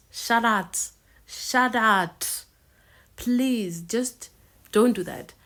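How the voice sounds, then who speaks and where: A South African woman with a South African accent, hosting a reaction video and giving firm, emphatic commentary, often repeating words for stress. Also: medium pitch. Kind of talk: casual commentary to camera.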